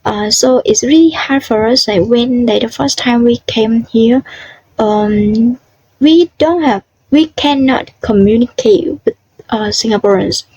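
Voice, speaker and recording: A young woman from southern Vietnam answers questions in earnest, simple English with a Vietnamese accent. She talks slowly and haltingly, with many ums and restarts. Her voice is a little high and sounds close and dry. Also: a little mellow, breathy edge, no echo, muffled recording. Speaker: interviewee.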